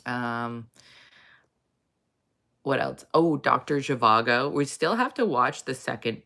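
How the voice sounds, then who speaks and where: A woman hosting a casual chat, rambling with many fillers, in a low morning voice. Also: expressive, slow, a bit bright. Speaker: host. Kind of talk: casual chat reading viewer comments.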